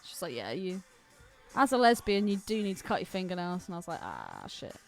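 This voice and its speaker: A woman's voice: on the low side, huge pitch swings, fairly quick, vocal fry, soft background music. A woman co-hosting a podcast, chatting casually about films in an informal, slangy, candid way. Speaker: podcast co-host. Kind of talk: casual film chat.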